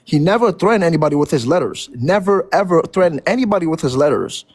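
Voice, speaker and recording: A man's voice: on the high side, lively intonation, fast talker. A man in an unscripted religious debate, insistently defending his side and repeating himself as he pushes to be heard.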